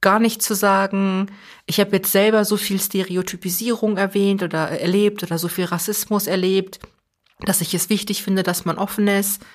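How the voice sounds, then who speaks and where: A woman's voice: mid-range voice, steady tone, normal speed. Earnest young woman, thoughtful interview guest. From Germany. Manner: thoughtful, earnest, self-correcting restarts. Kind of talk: reflective conversational interview.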